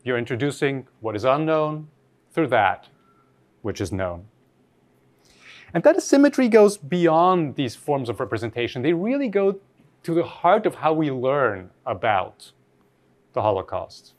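A man from Austria with as his delivers an academic lecture in a mid-pitched voice with very lively intonation. He speaks thoughtfully and off the cuff, halting and rewording his sentences as he reasons. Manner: thoughtful, halting with frequent rephrasing.

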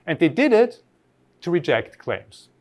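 Lively-pitched man lecturing. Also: a little slow. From Austria. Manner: thoughtful, rephrases mid-sentence, asks the audience questions. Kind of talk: academic lecture.

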